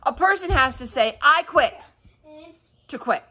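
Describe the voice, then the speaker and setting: A woman's voice: high voice, expressive, measured pace, sharp tone, exaggerated voice. A woman voicing a bossy, fierce young girl from a children's storybook, demanding and indignant. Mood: insistent.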